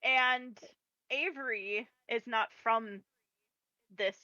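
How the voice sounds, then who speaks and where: A woman's voice: on the high side, extremely slow, bright, forceful tone, dry recording, muffled recording. A woman narrating as game master of a tabletop game. She is giggly, and her narration is loose and full of restarts.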